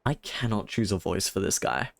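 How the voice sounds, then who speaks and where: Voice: low-pitched, lively intonation, normal speed, creaky. A game streamer talking live in rambling asides and reading dialogue aloud, sometimes stumbling over it.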